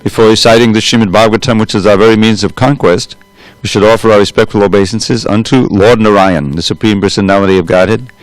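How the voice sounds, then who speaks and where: A man leading a devotional scripture class, reciting opening prayers slowly and in a measured way into a room microphone in a temple. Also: lowish voice, steady tone, no echo, faint music behind, rough recording. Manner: loud voice. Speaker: class speaker reading scripture. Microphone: room microphone with adjustable volume.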